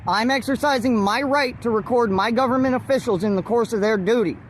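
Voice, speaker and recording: A woman's voice: fairly high pitch, fairly quick, low-quality recording. A woman auditor filming police at a roadside traffic stop on a handheld phone, lecturing officers about her rights in a defiant voice. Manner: defiant, lecturing, with forced laughs. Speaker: first amendment auditor. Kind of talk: confrontational street recording. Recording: faint outdoor traffic noise.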